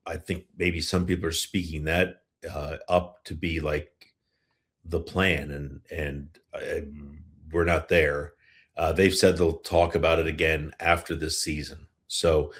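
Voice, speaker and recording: Deep-voiced man hosting a sports podcast. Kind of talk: casual hockey talk and question answering.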